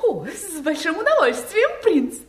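A shrewish young storybook princess. Her very high voice swoops with affected, haughty airs, in a roomy, echoing recording. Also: very animated, measured pace, full character voice. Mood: affected sweetness. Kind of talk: theatrical fairy-tale audio play.

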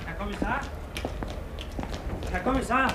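An actor playing an obedient police subordinate in a 1950s radio drama, deferential and clipped. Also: male voice, sits high, measured pace. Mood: deferential, brisk. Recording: old radio recording, faint noise.

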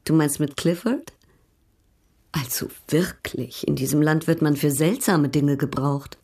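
Tiefe, spöttische Baronin. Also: female voice, low voice, unhurried, darkish tone. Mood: amused, ironic. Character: worldly, amused, sardonic baroness. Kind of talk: radio drama dialogue, period literary adaptation.

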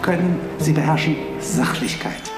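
A man reflects aloud in halting phrases, like a spoken essay. The voice is roomy, and loud music plays along with it. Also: fairly high pitch. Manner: halting, punctuates thoughts with "ja".

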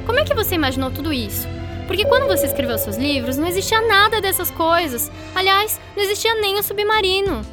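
Young schoolgirl, high-pitched, curious, excitable, slangy, radio drama, prominent music bed. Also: fast talker. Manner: youthful, enthusiastic, slangy.

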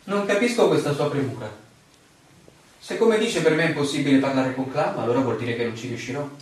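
A man's voice: medium pitch, brisk, lightly acted. An actor playing a stubborn, slightly arrogant young male newcomer in an Italian radio play, arguing politely but insistently. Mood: defiant. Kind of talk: theatrical radio drama dialogue. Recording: very echoey, distant, noisy ambience.

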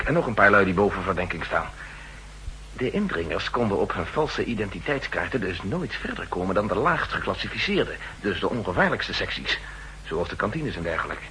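An actor playing a worried, earnest official seeking help, speaking formally and tensely in a 1960s radio drama. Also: male voice, low voice, pushed and bright. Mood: puzzled. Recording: noisy, humming old radio recording.

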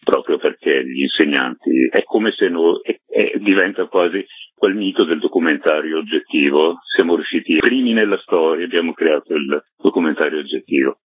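An extremely deep-voiced man with a husky tone explains his work as a documentary director. He talks conversationally, as a radio guest on a narrow phone-like line. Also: moderate pace, no echo.

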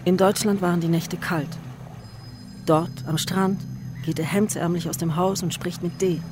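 A woman's voice: lowish voice, fairly even intonation, normal speed, over music, lightly acted. An actress playing an intense, weary, anguished woman in a literary radio drama. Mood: weary, yearning.

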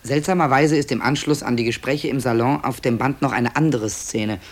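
An actor playing a measured narrator who presents taped scenes in a radio drama, in a scripted style. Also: male voice, medium pitch, natural voice.

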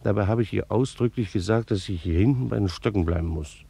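A man's voice: lowish voice, fast, creaky, mellow, husky. An actor in a German radio play, playing a retired bailiff and beekeeper in his sixties, dutiful, fussy and wounded, with a formal, reflective manner. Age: 60s. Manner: formal, reflective, old-fashioned official's wording. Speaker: actor narrating in character.

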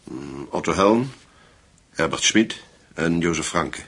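An actor playing a grave German investigator in a language radio drama, with a gravelly, husky voice. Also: male voice, deep voice, lively intonation, slow, a bit bright, heavily acted. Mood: serious, intent. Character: grave German man investigating a wartime secret.

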